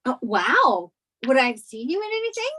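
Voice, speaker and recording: A woman's voice: high voice, very animated, normal speed, bright, forceful tone, heavily acted. An actress reading the part of a naive, sheltered young woman in a sitcom table read. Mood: naive curiosity.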